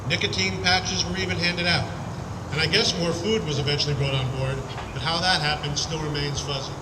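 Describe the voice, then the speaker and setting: A man from Connecticut giving an earnest, measured reading of a prepared personal account at an outdoor memorial service. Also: a little high, fairly even intonation. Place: outdoor town green. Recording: echoey, distant outdoor PA recording with loud noise.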